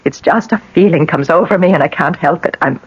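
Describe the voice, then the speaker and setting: Breathy, anxious young Irish wife. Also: female voice, sits low, a little fast. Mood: worried. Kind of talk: radio drama acting. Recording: dull lo-fi vintage radio recording.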